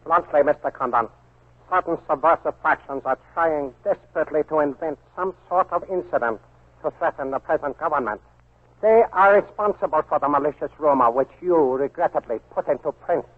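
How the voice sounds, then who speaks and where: An actor playing a blunt, stern Japanese army colonel in a 1940s radio drama. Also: male voice, fairly high pitch, a little fast, slightly rough. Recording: scratchy narrow-band 1940s radio recording.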